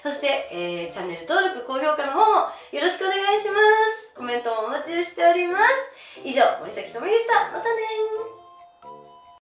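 A young woman talks casually to the camera in a high, very animated voice with a bashful, exclamatory manner. She is recorded in a small, echoey room at a little distance, with faint music behind her. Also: normal speed. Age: young adult. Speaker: gravure idol presenting outfits. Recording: roomy echo from camera distance.

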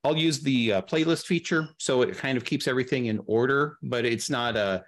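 A man's voice: mid-range voice, a little fast. A middle-aged man chatting casually as an interview guest, explaining production matters at length and in practical detail on a video call. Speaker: guest, audio drama producer. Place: indoor room, video call.

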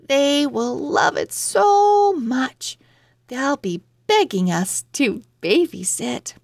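A woman's voice: sits high, sing-song, takes it slowly, gravelly, a little mellow, no echo, clean studio sound, heavily acted. A woman voicing an eager, bubbly young girl owl from a children's storybook, with emphatic delivery. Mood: eager, excited.

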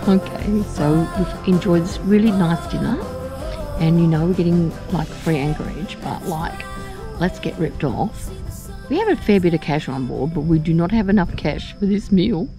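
A woman's voice: on the low side, animated, normal speed, music in the background. A woman, chatting casually as the host of a sailing vlog. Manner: chatty, informal. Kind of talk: casual chat and storytelling to camera. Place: outdoors, on a sailing boat deck and ashore. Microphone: handheld camera at arm's length.

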